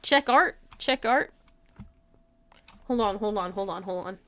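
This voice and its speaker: A woman's voice: medium pitch, a little slow, a bit bright, no echo. A woman livestream host chatting casually with her viewers in an informal, giggly way, saying "like" often. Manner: giggly, informal, frequent filler "like".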